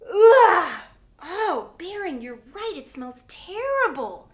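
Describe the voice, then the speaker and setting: A woman acting out a flustered, easily panicked preschool teacher in a children's story, loud and urgent. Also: extremely high pitch, big pitch swings, takes it slowly, voice pushed up, full character voice. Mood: stern, appalled.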